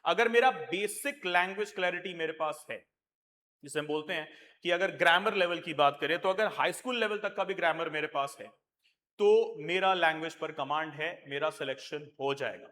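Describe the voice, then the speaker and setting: An Indian man explains exam strategy to his viewers in a lecture style, mixing Hindi and English. His voice sits high and sounds bright. He slows down and stretches the English phrases he wants to stress. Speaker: UPSC coaching teacher.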